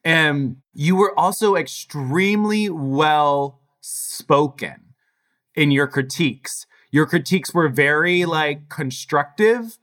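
A man's voice: fairly high pitch, slow, fairly bright tone. A man hosting an interview, asking eager questions and heaping praise on his guest. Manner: effusive, gushing with praise. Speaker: interview host.

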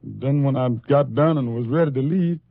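A man's voice: mid-range voice, moderate pace, creaky, close to an everyday voice. Actor as a meek, pleading working man, old radio. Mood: nervous, respectful. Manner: pleading, meek, folksy uneducated speech. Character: frightened, deferential car lot worker under police questioning. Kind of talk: 1950s radio drama acting. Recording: muffled 1950s radio recording, slight room echo.

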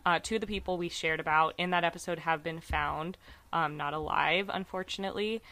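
Woman podcast host, lower voice with a bright edge, slight rasp, chatty and earnest. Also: fairly even intonation, fairly quick. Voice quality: slightly raspy from screaming. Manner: chatty, many fillers, earnest about cases. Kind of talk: casual true crime podcast chat.

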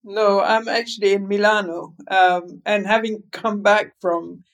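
A woman in her seventies from Lebanon speaks as a guest in an interview, full of fillers, asides and restarts. Her voice carries a gravelly, creaky texture at a middle pitch. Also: fairly even intonation, measured pace, poor audio. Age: 70s. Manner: talkative, meandering, many fillers and restarts. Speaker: guest, food writer. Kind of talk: interview over a call.

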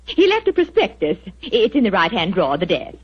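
An actress playing a sweet, gently firm young wife in a 1940s radio drama. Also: female voice, mid-range voice, huge pitch swings, brisk, heavy background noise. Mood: enthusiastic. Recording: muffled vintage 1940s radio recording.

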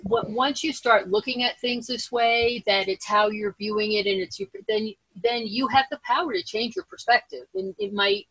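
A woman interview host in casual, reflective conversation, halting and rephrasing as she goes. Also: fairly high pitch, a bit bright, breathy edge, no room sound, poor audio.

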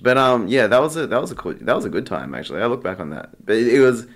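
An Australian man chatting casually as an interview guest, telling stories in a rambling, halting way full of filler "like". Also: mid-range voice, sing-song. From Australia, Sydney. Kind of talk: casual anecdotal chat.

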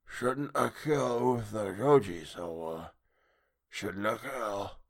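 A man voicing a man-fish sea creature in a storybook, with halting, simple broken speech. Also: fairly low pitch, no echo, clean studio sound. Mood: quiet menace. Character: male sea monster, slow, halting, menacing man-fish. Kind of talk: audiobook character acting.

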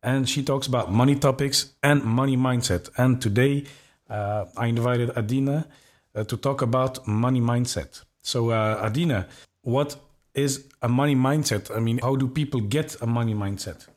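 A man's voice: medium pitch, normal speed. Man hosting a podcast. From Belgium. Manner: friendly, many uh fillers and self-corrections. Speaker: podcast host. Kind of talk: podcast interview.

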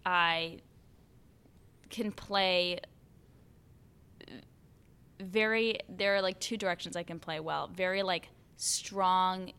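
A young American woman, an actor and singer, chatting as a podcast guest with lots of fillers and animated quotes. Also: on the low side, takes it slowly, long pauses, clear, resonant tone. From United States. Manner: chatty, frequent "like" and "you know" fillers, animated quoting of others. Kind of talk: casual conversational interview.

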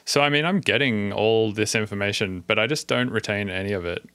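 A man hosting a podcast chats in a laid-back way, full of likes and ums. His voice is low and smooth. It's recorded close and dry. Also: a little fast, a bit bright, dry recording. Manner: laid-back, chatty, uses filler words. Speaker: podcast host. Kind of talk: casual podcast chat.

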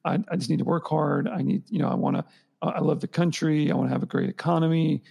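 A man's voice: on the high side, fairly even intonation, fast talker, vocal fry, mellow, breathy edge. A man co-hosting a podcast, thinking aloud in long rambling stretches and often restarting his sentences. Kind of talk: conversational podcast discussion.

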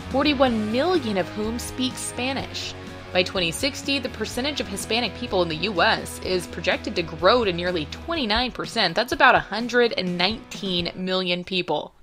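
Woman narrating news over music. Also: medium pitch. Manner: measured, clear reporting delivery. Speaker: radio news host. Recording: music bed under narration.

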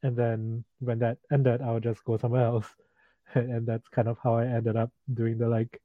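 A man's voice: mid-range voice, monotone, moderate pace, no echo. A young man from Singapore, a freelance guest, talking casually with lots of "like" and "you know". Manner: casual, many fillers like "like" and "you know", easy laughs. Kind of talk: casual interview chat. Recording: tinny phone-like remote line, dry.

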